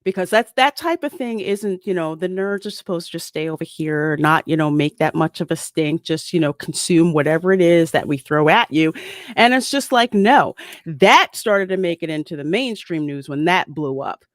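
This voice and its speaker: A woman's voice: lowish voice, normal speed, no room sound. Outspoken woman chatting, dry sound. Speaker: panelist. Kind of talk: casual panel chat.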